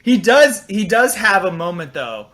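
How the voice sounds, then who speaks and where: A man co-hosting a casual podcast, trading animated, teasing banter. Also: sits high, measured pace. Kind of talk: casual chat.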